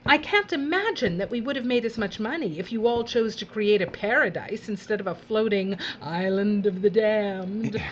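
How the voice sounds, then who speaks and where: A woman's voice: mid-range voice, normal speed, hardly any pauses, muffled recording, natural voice. A woman playing a breezy, callous female television boss in an audio drama, theatrical and drawling, with condescending endearments. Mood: flippant.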